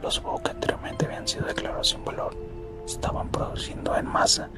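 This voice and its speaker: Whispering man over music. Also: quick pace. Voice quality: breathy, unvoiced whisper. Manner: hushed, steady reading. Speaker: ASMR channel host. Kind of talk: whispered ASMR, scripted narration of a fictional history.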